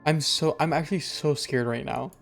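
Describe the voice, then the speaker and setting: A young man livestreaming a game, reacting casually with dramatic, repetitive exclamations in a creaky voice. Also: on the high side, normal speed. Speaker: game streamer. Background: game music.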